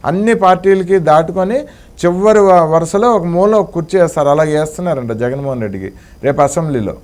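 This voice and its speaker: High-pitched male interviewer. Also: a little fast, a little mellow. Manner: chimes in with short agreements. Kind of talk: conversational political interview.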